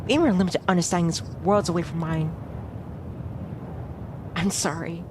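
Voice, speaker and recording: A woman's voice: on the low side, fairly even intonation, normal speed, noisy background, lightly acted. A woman playing a self-doubting, observant young heroine, narrating a visual novel in a glum, confessional first person. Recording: music clearly behind the voice.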